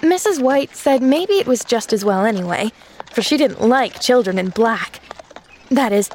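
A woman's voice: on the high side, lively intonation, normal speed, darkish tone, a little hiss or hum, lightly acted. A woman voicing an earnest, talkative little orphan girl in a storybook, with breathless, rambling chatter. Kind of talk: storybook character voice.

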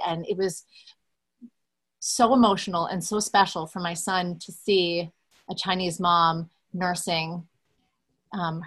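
A woman's voice: lowish voice, fairly bright tone. A woman, telling her story candidly as an interview guest with many fillers. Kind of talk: conversational interview, personal story.

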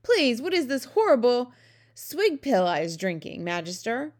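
A woman voicing a big, friendly, childlike male giant who exclaims excitedly in garbled grammar. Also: mid-range voice, normal speed, natural voice. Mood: loud disgust. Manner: garbled ungrammatical speech, exclamatory.

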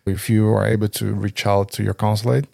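A man in his thirties co-hosting a podcast, talking in a steady, conversational and slightly halting way. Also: on the low side, creaky, dry recording, studio quality. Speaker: podcast co-host. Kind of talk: scripted podcast talk.

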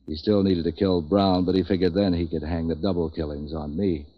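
A very low-pitched, street-wise tough guy talks in wry, slangy lines. He is a sardonic hard-boiled type from a 1940s radio noir, heard on a dull vintage recording. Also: level tone, fairly quick. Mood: sardonic, accusing.

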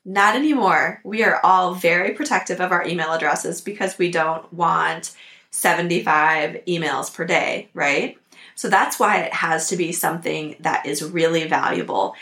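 Hoarse woman podcast host with a cold. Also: on the low side, moderate pace, a bit bright, reverberant. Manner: upbeat, practical, coaching tone. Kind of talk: instructional podcast talk.

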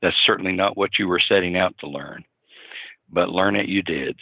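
A man, a clinical psychologist co-hosting a podcast, explaining in a measured way and repeating points. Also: low voice, vocal fry, narrow, tinny audio, slight background noise.

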